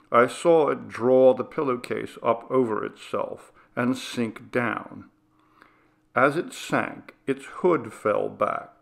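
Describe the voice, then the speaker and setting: A man reading a ghost story aloud, in a slow, measured and grave way. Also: mid-range voice, vocal fry, fairly bright tone. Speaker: reader. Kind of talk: scripted ghost story narration.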